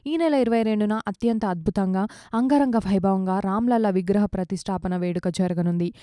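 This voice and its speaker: A woman news reader delivers scripted reports in a smooth, clean voice. Her tone is soft and mellow at a middle pitch, and the sound is dry and close, without room echo. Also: brisk.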